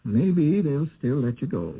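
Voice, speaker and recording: An actor playing a fearful, folksy village local with a rustic Down East accent and a halting, ominous delivery in a radio drama. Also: male voice, on the high side, big pitch swings, breathy edge, full character voice. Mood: uneasy, pleading. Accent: Down East New England. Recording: muffled, phone-like old radio recording.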